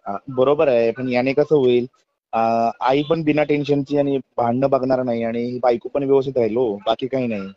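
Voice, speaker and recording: A man's voice: mid-range voice, fairly even intonation, moderate pace, no room sound. A man with a Maharashtrian Marathi accent on a phone call, politely and hesitantly justifying himself. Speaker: caller seeking a place for his mother. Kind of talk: phone conversation. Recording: muffled lo-fi phone call recording.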